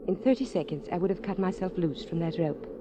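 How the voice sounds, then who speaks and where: An actress playing an earnest, secretly desperate married woman climber in a 1940s radio drama, with hushed pleading and dramatic pauses. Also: lowish voice, crowd noise, close to an everyday voice. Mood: urgent, confessing. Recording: muffled, noisy 1940s radio recording.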